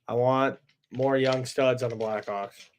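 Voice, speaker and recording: A man's voice: mid-range voice, fairly even intonation, a little slow, a little hiss or hum. A young man with a Chicago accent, co-hosting a podcast in casual sports chat, laid-back and swearing freely. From Chicago area. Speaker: podcast co-host.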